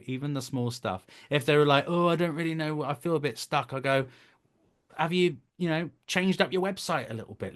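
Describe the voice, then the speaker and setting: A man's voice: mid-range voice, a bit bright. A man in his thirties speaking as a voice acting coach in a conversational interview, explaining things earnestly. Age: 30s. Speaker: voice actor, director and coach.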